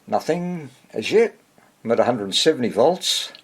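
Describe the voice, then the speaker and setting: A man giving casual, hands-on repair commentary as he hosts a video, thinking aloud in a husky voice. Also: mid-range voice, animated, moderate pace. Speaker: vintage radio repair hobbyist hosting video.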